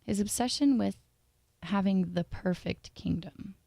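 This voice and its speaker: A woman reading a scripted analytical video essay in a measured, formal way. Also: medium pitch, creaky, warm tone, no echo, studio quality.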